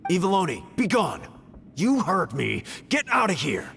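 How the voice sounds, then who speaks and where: A man playing an angry, scolding villager in a video game, with a gravelly voice. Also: sits high, wildly swooping pitch, moderate pace, fairly bright tone, long reverb tail, slight background noise, full character voice. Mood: furious scolding.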